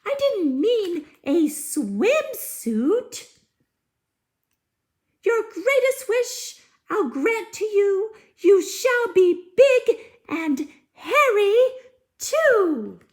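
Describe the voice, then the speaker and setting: A woman voicing an excitable, bungling little fairy in a squeaky, singsong storybook voice. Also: extremely slow, heavily acted. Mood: flustered. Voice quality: squeaky, thin cartoonish voice. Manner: singsong spell chanting with exclaimed nonsense words. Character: small scruffy bungling dirty fairy, excitable and chirpy. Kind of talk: storybook read-aloud character voice.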